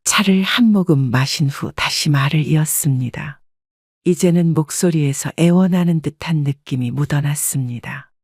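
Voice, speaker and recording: Female audiobook narrator, even and measured, dry studio read. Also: deep voice, no room sound. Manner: steady, even-paced storytelling. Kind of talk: scripted first-person story narration.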